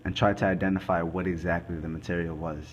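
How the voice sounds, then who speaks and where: A man playing a grave, methodical forensic investigator, delivering a scripted dramatic monologue in halting, deliberate phrases. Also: deep voice, little pitch movement, muffled recording, a little hiss or hum, natural voice. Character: male forensic investigator recounting a murder case, grave and methodical. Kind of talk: scripted dramatic monologue, amateur student production.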